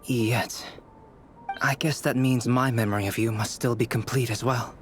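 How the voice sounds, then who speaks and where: An actor playing a calm, analytical young male alchemist in a video game, measured and explanatory. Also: mid-range voice, over music, natural voice. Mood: composed, explanatory.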